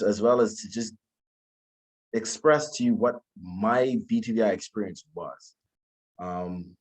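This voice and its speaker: A man, giving an informal talk about his own story in a polite, humble way, often halting and repeating words. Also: fairly low pitch, a little mellow, rough recording. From Bahamas. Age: 20s. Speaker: guest speaker, alumnus.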